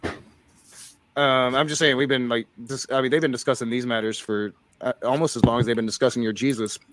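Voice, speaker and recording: A man's voice: medium pitch, fairly even intonation, fairly quick, a crowd in the background, poor audio. Easygoing man with a mid-range voice. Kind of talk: casual debate.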